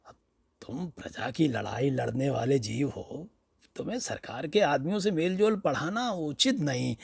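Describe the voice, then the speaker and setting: Storybook role read by a man: boastful, flattering old villager, slow and rough-voiced, pleading with familiar emphasis. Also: fairly high pitch, dry recording, clean studio sound, heavily acted. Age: older.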